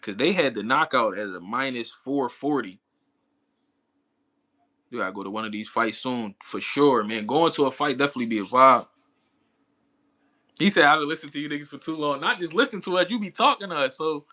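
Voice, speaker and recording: A man's voice: medium pitch, brisk, long pauses, pushed and bright. A man in his thirties chatting casually with livestream viewers, talking in a laid-back, slangy way and laughing often. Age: 30s. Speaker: livestream boxing commentator. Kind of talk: casual chat with live chat viewers.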